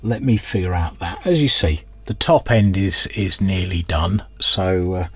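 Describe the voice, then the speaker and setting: A man's voice: deep voice, measured pace, a bit bright, breathy edge, dry recording. A man giving casual hands-on workbench commentary, hesitantly thinking aloud as he works. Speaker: host of a radio restoration channel.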